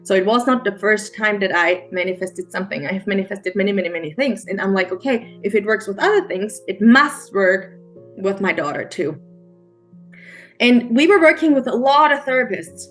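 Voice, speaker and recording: A middle-aged woman with a German accent, a mindset coach, telling her story as an interview guest in a halting, earnest way with many restarts. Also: medium pitch, expressive, soft background music. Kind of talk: emotional personal storytelling interview.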